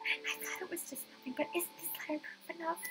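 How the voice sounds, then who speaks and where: A woman's voice: very high-pitched, fairly even intonation, quick pace, in a whisper, music in the background, voice pushed up. A woman voicing a panicked young female estate agent in a visual novel, desperate and haunted. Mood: frightened urgency. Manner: stammering, desperate.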